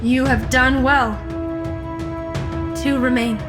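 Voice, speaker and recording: An actress playing an earnest, determined amateur investigator in an audio drama, deliberate and intense. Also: female voice, high voice, steady tone, over music. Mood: puzzled. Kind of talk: supernatural comedy audio drama acting.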